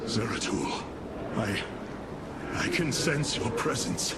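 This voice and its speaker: An actor playing a young noble alien leader fighting mental possession in a game cinematic, strained and halting. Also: male voice, medium pitch, very animated, heavy background noise. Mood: anguished, strained. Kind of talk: video game cinematic acting.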